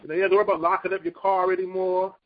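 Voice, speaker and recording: High, husky man teaching scripture. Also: flat delivery, rapid-fire, phone-quality sound. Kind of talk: Bible teaching in conversation.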